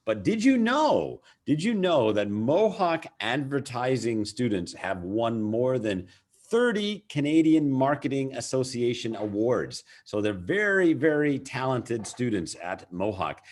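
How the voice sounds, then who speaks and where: A man's voice: medium pitch, measured pace. A man hosting a school webinar, enthusiastic and full of fillers. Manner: lively, enthusiastic, frequent fillers. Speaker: webinar host.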